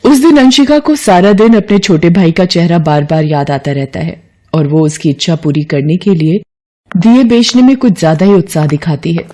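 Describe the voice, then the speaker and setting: A woman's voice: on the low side, moderate pace, dark tone. A woman narrating a scripted moral story as a storyteller.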